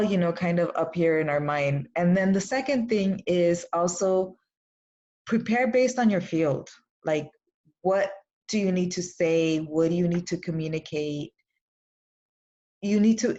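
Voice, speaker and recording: A woman's voice: lowish voice, a little mellow. A young woman from Los Angeles, who grew up speaking Spanish, hosts a casual webinar, encouraging and often restarting her phrases. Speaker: webinar host and coach. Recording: slightly dull lo-fi webinar audio.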